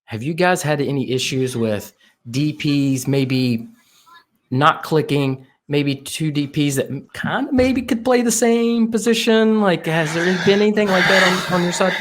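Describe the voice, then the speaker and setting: A man hosting a casual soccer podcast, eager and talking in quick bursts as he asks his guest questions. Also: on the high side. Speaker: podcast host.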